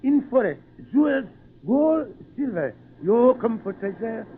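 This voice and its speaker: Simple loyal island youth, broken English, very high-pitched, old radio drama, thin tinny sound. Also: male voice, sing-song, a little slow, slight background noise, heavily acted. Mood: coaxing. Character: eager young male island native companion, simple and loyal. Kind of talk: old time radio adventure acting.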